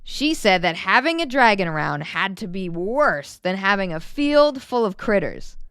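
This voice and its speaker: A woman reads a children's story aloud with a very lively, swooping delivery. Her pitch sits in the middle for a woman, and the recording is dry and close, with little room sound. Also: normal speed, clear, resonant tone, no room sound. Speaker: children's book narrator. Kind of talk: animated read-aloud storytelling.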